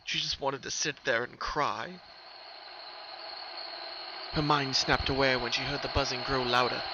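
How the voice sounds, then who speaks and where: A man's voice: mid-range voice, fairly even intonation, measured pace, highs cut off. A man giving a dramatic scripted narration of a fan fiction story as the reader. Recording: very loud background noise.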